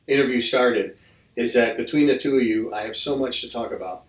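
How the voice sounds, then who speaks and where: A man's voice: mid-range voice, brisk. A man hosting a casual podcast, rambling along and restarting his sentences as he talks. He sits a little back from the mic, and the room around him is echoey. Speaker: podcast host. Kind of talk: casual interview chat. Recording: roomy mic from a distance.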